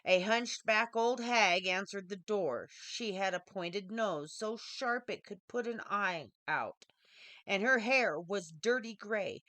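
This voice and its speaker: A woman reading a scripted fairy tale aloud as a storyteller, with deliberate, dramatic phrasing. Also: mid-range voice, bright, forceful tone, dry recording, studio quality. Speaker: author reading her own story.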